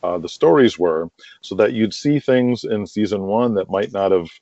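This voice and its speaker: A man's voice: fairly low pitch, moderate pace, warm tone. A man being interviewed casually, explaining his work at length in a rambling, conversational way full of filler phrases. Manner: rambling, explains at length with frequent "you know" and "like". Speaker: interview guest, writer of a wrestling audio drama. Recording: dull lo-fi call audio, roomy echo.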